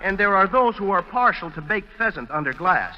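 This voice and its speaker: An actor playing an earnest, rambling Marine sergeant in a 1940s radio comedy. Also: male voice, high-pitched, lively intonation, fairly quick, in a large hall. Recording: muffled, hissy 1940s radio recording.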